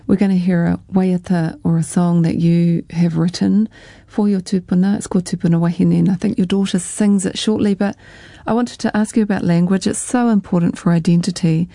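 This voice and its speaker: Warm, dry-sounding New radio host. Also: female voice, fairly low pitch, fairly even intonation, brisk, creaky, few pauses, no echo. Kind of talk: radio interview.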